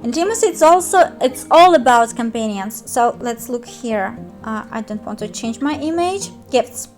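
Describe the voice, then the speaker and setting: A woman's voice: on the high side, lively intonation, normal speed, darkish tone, faint music behind. A young woman, a native Russian speaker with a Russian accent, walking through a mobile game in a careful, hesitant tutorial voice full of fillers. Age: young adult. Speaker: game guide youtuber. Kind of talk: screen-recorded game tutorial.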